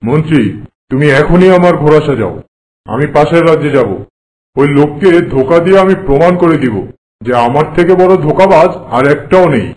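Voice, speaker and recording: A man playing a boastful, haughty king in an animated folk tale, speaking in a pompous, commanding way. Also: on the high side, fairly quick, close to an everyday voice, poor audio. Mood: determined. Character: boastful, haughty king who prides himself on trickery.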